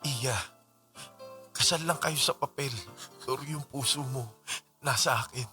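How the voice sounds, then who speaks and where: A man's voice: medium pitch, unhurried, bright, forceful tone, soft background music. A man with a Filipino accent acting a passionate, lovelorn suitor pleading with a married woman in a radio drama. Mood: hurt, tender. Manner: pleading, emotional, intense.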